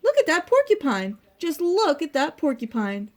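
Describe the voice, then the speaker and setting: A curious, friendly lady porcupine from a children's book, acted out by a woman. Her voice is high and varies widely in pitch. She sounds emphatic and admiring. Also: huge pitch swings, normal speed. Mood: amazed.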